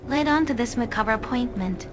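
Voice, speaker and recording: Woman as sly seductive ancient dark creature, noisy ambience. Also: fairly high pitch, moderate pace, close to an everyday voice. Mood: sly, sinister. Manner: teasing, sly, elegantly theatrical. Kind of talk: in-character game companion dialogue.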